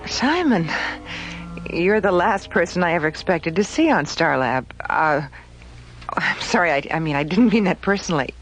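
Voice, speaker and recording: An actress playing a guarded, slightly flustered space station colleague in a radio drama, conversational and hesitant. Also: female voice, on the low side, lively intonation, moderate pace, faint music behind, close to an everyday voice.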